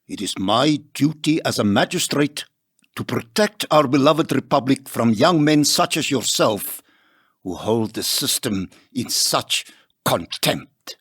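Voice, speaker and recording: An actor playing a stern, pompous magistrate in an audio drama. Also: male voice, medium pitch, lively intonation, a little slow, a bit bright, breathy edge, no echo, clean studio sound, exaggerated voice. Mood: stern, contemptuous. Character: stern, pompous male magistrate passing sentence.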